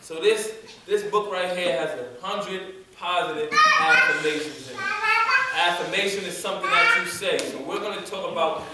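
A young man leading a youth poetry workshop, talking casually and encouragingly, repeating an affirmation slowly for the group. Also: sits high. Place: large community room with hard walls. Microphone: far camera. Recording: echoey room from far off, noisy.

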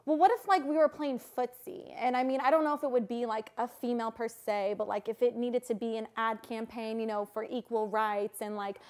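A woman's voice: fairly high pitch, fairly even intonation, brisk, few pauses, darkish tone. A woman in her twenties improvising a prank call as a producer, gushing and swearing freely, recorded in a living room. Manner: gushing, profane. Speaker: prank caller.

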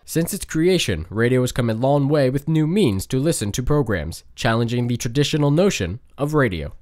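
Young man narrating with very varied pitch. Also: expressive, moderate pace, darkish tone. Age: young adult. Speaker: documentary narrator and presenter. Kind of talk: scripted narration.

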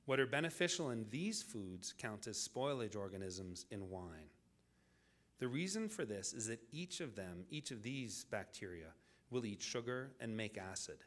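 A man delivering a scripted public lecture as the lecturer, in deliberate, measured phrases with dramatic pauses. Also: fairly low pitch, fairly bright tone.

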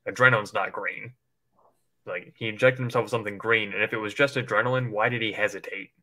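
A man with a fairly low voice and a bright, forward edge. He talks like a livestream co-host in casual, rambling conversation, informal and loose in his wording. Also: steady tone, quick pace, rough recording. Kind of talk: casual chat speculating about films.

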